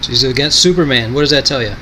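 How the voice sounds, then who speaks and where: A man's voice: medium pitch, rapid-fire, noisy background. A man giving a casual product demonstration in an offhand, rambling way.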